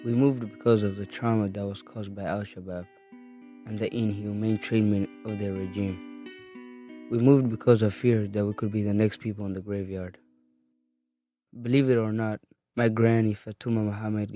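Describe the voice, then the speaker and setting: An eighteen-year-old man from Somalia reading his own life story in an earnest, steady way. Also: fairly low pitch, no room sound, clean studio sound. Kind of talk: read personal narrative.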